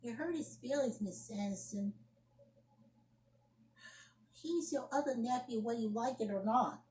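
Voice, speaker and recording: A woman's voice: on the high side, a little slow, a little mellow, slight background noise, lightly acted. A woman playing an indignant young woman scolding someone over the phone in an amateur solo drama, emphatic and repeating her phrases. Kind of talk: amateur solo acted monologue, one side of a phone argument. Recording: roomy echoing home recording.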